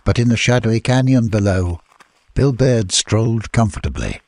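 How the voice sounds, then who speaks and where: A man's voice: on the low side, expressive, normal speed, darkish tone, dry recording. A man reading a scripted adventure story as an audiobook narrator.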